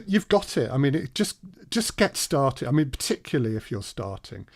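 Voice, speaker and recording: Conversational man with mid-pitched voice. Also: sing-song, moderate pace, creaky, dry recording, clean studio sound. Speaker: audio drama producer and workshop presenter. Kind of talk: conversational workshop discussion.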